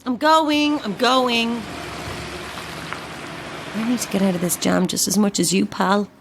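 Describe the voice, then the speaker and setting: A woman's voice: medium pitch, steady tone, measured pace, vocal fry, noisy background. A woman playing a flustered, self-dramatizing struggling actress who rambles theatrically to herself in an audio drama. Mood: flustered, defensive. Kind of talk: modern audio drama acting.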